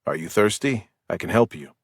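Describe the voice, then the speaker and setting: A man doing a gentle, questioning detective. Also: lowish voice, lively intonation, normal speed, vocal fry, husky, no echo, clean studio sound, exaggerated voice. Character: injured male police detective, patient and gentle.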